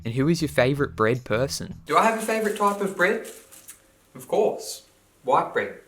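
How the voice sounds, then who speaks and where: A man's voice: fairly high pitch, sing-song, moderate pace, fairly bright tone. A man in his early twenties with an Australian accent, chatting casually and playfully as he answers viewer questions. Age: early 20s. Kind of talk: casual Q&A video chat.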